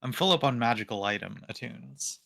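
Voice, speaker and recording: A man chatting in a tabletop game session, wry and quick to make fun of himself. His voice has a heavy gravelly fry. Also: mid-range voice, sharp tone. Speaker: player. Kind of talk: casual tabletop game chat.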